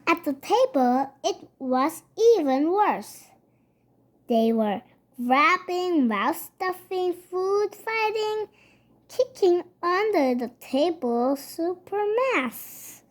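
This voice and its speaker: A child reading a children's picture book aloud in a halting way, stumbling over words and going back to repeat them. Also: very high voice, lively intonation.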